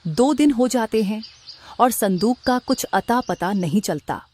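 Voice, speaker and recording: Higher-pitched woman narrating a story. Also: expressive, measured pace, darkish tone. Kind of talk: scripted Hindi cartoon narration.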